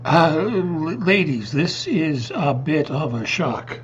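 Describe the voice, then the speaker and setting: A flustered, polite funeral director as a man acts him in a stage comedy. He stammers and hesitates at a slow pace, and the recording is dull with faint noise. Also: fairly high pitch, big pitch swings. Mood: shaken. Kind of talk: comedic readers theater acting. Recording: dull muffled audio with faint hum.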